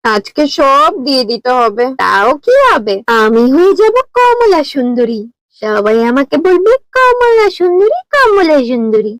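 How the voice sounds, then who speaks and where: A woman's voice: high voice, very animated, unhurried, dry recording, low-quality recording. A woman voicing a vain, whiny young village wife in a moral-story cartoon, melodramatic and complaining. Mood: gleeful vanity. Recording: music behind the voice.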